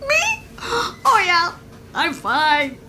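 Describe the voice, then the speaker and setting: An anxious middle-aged mom in an audio drama. Her voice sits high, dramatic and flustered, with prim manners and faint noise behind it. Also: wildly swooping pitch, extremely slow, pushed and bright, heavily acted. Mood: tearful.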